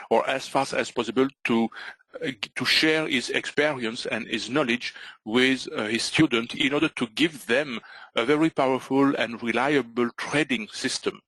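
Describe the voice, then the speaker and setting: A man's voice: mid-range voice, a bit bright, no echo, low-quality recording. A middle-aged Belgian man, not a native English speaker, presenting a live trading webinar with many fillers and restarts. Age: middle-aged or older.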